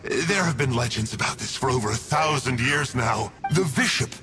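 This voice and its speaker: An actor playing a sly, smug villain of a dark order in a fantasy game, teasing and theatrical in a conversational way. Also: male voice, medium pitch, expressive, normal speed, music in the background, lightly acted. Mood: smug, explaining. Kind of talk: fantasy video game voice acting.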